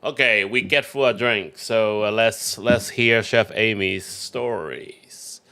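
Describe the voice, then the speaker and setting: A chatty male host with a low, gravelly voice leads a casual interview with enthusiasm, filling pauses with lots of ums and uhs. His low pitch and heavy vocal fry stand out. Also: sharp tone. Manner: chatty, enthusiastic, uses many fillers. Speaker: chef, podcast host.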